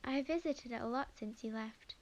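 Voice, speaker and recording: A woman playing a young witch, sweet, flustered and chatty, in an ASMR roleplay, speaking expressively and haltingly. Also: a little high, muffled recording, lightly acted. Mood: playful, nostalgic. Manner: halting, expressive, talks to herself. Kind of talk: ASMR roleplay audio drama monologue.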